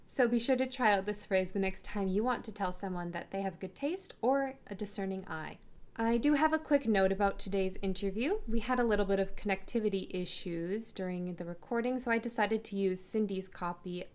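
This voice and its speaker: A woman hosting a podcast, reading a scripted intro and slowly spelling out foreign words as a short language lesson. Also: medium pitch, darkish tone. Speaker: podcast host.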